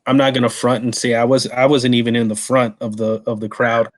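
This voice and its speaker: A man's voice: mid-range voice, flat delivery, brisk. A man in his forties from Texas hosting a podcast, asking questions in an eager, slangy, friendly way. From San Antonio, Texas. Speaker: podcast host. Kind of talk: casual interview questions.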